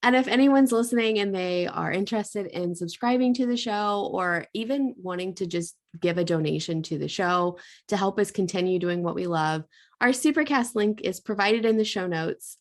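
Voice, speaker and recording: Hoarse woman podcast co-host. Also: on the low side, moderate pace. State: hoarse, recovering from lost voice. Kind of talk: casual chat and scripted intro.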